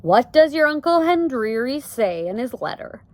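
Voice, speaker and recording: Lady doing a serious steady dad in a storybook, firm and careful, folksy grammar, lively intonation. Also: sits high, voice pushed up. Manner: folksy ungrammatical speech, measured. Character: grave, steady, plain-spoken father, cautious and firm. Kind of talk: storybook read-aloud.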